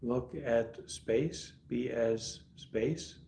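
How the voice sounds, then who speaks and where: A man guiding a meditation calmly and deliberately, speaking in short instructive phrases with long pauses between them. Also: mid-range voice, creaky, a little hiss or hum. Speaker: meditation teacher. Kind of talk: guided meditation.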